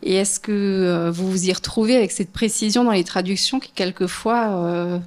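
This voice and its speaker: A woman moderating a lecture, asking a question in a measured, slightly hesitant way. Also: medium pitch.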